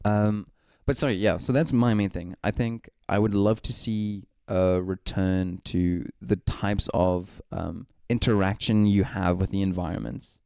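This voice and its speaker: A man's voice: fairly low pitch, fairly even intonation, unhurried, gravelly, few pauses, warm tone, smooth, no echo, studio quality. A man co-hosting a gaming podcast, rambling through his thoughts with lots of likes and ums. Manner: rambling, full of likes and ums, laughs easily. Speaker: podcast co-host. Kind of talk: casual gaming podcast chat.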